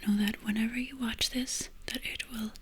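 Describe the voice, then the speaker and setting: A woman whispering softly as she guides an ASMR energy-healing session in a loose, chatty way. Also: on the high side, fairly even intonation, moderate pace, breathy edge. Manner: soft unvoiced whisper, loose and chatty with many fillers. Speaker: energy healer and ASMR creator.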